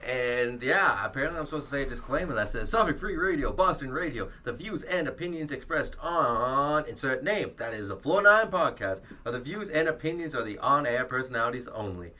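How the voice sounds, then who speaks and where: Bright-voiced young man hosting. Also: mid-range voice, expressive. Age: young adult. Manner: rambling, jokey, quick laughs. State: losing his voice from illness. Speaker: podcast host. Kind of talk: casual student podcast chat. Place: small college radio studio.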